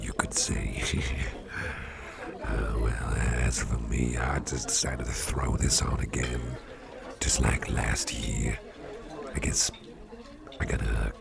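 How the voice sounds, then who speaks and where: A man playing a jovial, impish old devil boss in a soft, rambling, genial ASMR roleplay chat with a gravelly voice. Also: exaggerated voice. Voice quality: gravelly, fry-laden deep voice. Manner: unhurried, rambling, genial, with repeated phrases. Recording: party crowd murmur behind voice. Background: party crowd chatter.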